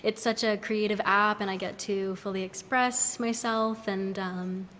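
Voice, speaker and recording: A woman's voice: mid-range voice, steady tone, measured pace, a bit bright, a little hiss or hum. A woman chatting casually on a live stream, informal and giggly, drifting into little asides. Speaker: singer and music maker, social media producer. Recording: long reverb on live stream mic.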